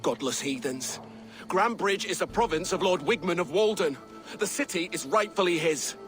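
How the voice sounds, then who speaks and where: Captive Saxon captain, pious, vehement, forceful high voice, very animated pitch, game cutscene. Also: male voice, moderate pace, soft background music. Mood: defiant. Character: defiant, pious Saxon captain captured by heathens, panicky. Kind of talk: dramatic game voice acting.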